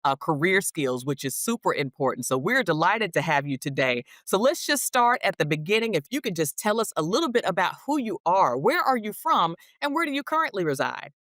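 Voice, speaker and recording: A woman's voice: lowish voice, big pitch swings, fairly quick, few pauses, a bit bright, dry recording, clean studio sound. A woman presenting and interviewing as a show host, with a polished, complimentary delivery.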